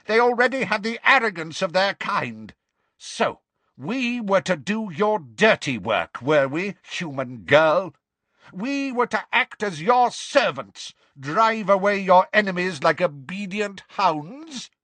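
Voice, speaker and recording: A man's voice: sits high, big pitch swings, slow, sharp tone, dry recording, pitched above the performer's own voice, exaggerated voice. A man voicing a proud, enraged male centaur in a storybook, haughty and bellowing. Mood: scornful rage.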